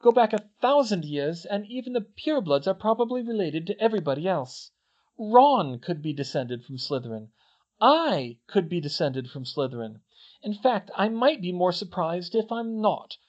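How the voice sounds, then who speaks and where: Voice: medium pitch, expressive, moderate pace, few pauses, darkish tone, muffled recording, voice pushed up, natural voice. A reader voicing an earnest, insistent young girl student who speaks emphatically. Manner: emphatic, didactic, stammers when upset.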